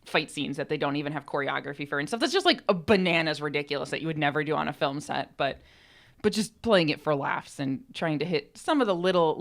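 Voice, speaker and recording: A woman co-hosting a podcast, explaining things in an informal, rambling, self-deprecating way. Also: low voice. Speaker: podcast co-host and co-writer. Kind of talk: casual explanatory chat. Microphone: podcast microphone.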